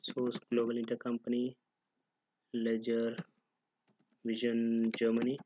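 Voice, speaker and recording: A man giving an unscripted, step-by-step software tutorial, halting and pausing mid-sentence as he goes. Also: medium pitch, completely flat delivery, a little hiss or hum.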